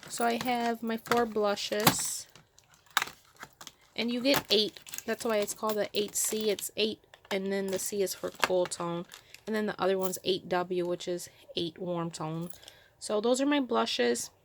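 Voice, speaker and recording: A woman's voice: mid-range voice, steady tone, unhurried, background noise. A woman with a stuffy cold nose, chatting casually and thinking aloud as she sorts her makeup at home. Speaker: beauty vlogger. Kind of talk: casual makeup vlog chat. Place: home room with vanities.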